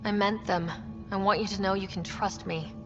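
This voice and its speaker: An actress playing an earnest young human space explorer in a video game, plain-spoken and diplomatic. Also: female voice, medium pitch, steady tone, normal speed, lightly acted. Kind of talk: cinematic video game acting. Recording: dull game audio with faint music.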